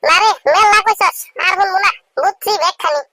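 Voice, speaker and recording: A man voicing an inquisitive, impatient village friend in a comedy cartoon, with a rural Bangladeshi accent and a squeaky pitched-up voice. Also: brisk, bright, forceful tone.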